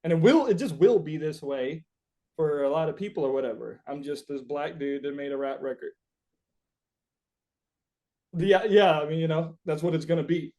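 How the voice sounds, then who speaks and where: A man's voice: fairly high pitch, moderate pace, long pauses, mellow. A man answering interview questions as a musician, talking informally in hesitant, thoughtful phrases. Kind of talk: casual conversational interview answers.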